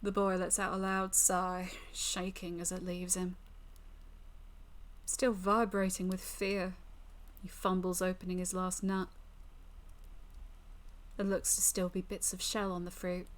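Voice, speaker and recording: A woman's voice: on the low side, monotone, a little slow. A woman streamer reading a visual novel aloud as dramatic story narration.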